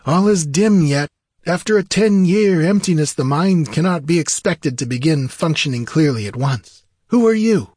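A man's voice: fairly high pitch, expressive, normal speed, a little mellow, husky, no room sound, studio quality, voice pushed up. A man voicing an aristocratic, wry sorcerer in a fantasy audiobook, with courtly, archaic and dramatic phrasing. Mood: dazed, astonished. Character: tall aristocratic sorcerer freed from long torment, eloquent, wry.

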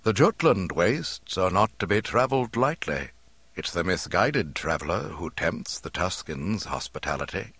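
Old hermit mentor played by male narrator: low, husky, gentle, slow, quietly wise. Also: sing-song, no echo, exaggerated voice. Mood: calm, gentle. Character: kindly, calm old hermit man, cryptic and wise.

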